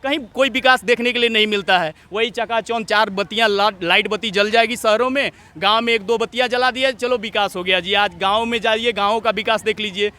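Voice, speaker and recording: A man's voice: high-pitched, steady tone, fairly quick, few pauses, sharp tone. A young man giving earnest, repetitive, opinionated answers in speech-like phrasing as a student leader in a street interview. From Jharkhand, India. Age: young adult.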